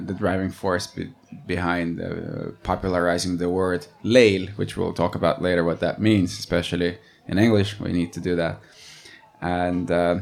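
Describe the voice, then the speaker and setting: Male interviewer, very deep voice, hesitant, lots of fillers. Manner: hesitant, many fillers and restarts. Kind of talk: interview introduction and questions.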